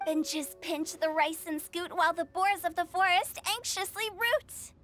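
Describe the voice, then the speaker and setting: A mischievous teenage girl hostess, very high in pitch and showy in delivery. It is polished anime game acting with music underneath. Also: unhurried, a bit bright. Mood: sing-song recital. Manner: theatrical, mischievous announcer. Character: playful theatrical teenage girl poet and festival hostess.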